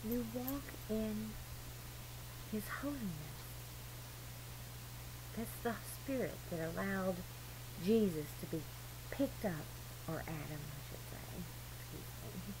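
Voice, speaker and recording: Female, mid-pitched, emphatic amateur preacher in a loose personal monologue; noisy, humming amateur sound. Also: lively intonation, takes it slowly, long pauses. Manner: earnest, emphatic, digressive. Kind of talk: rambling informal religious monologue. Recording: noisy amateur recording with clear hum.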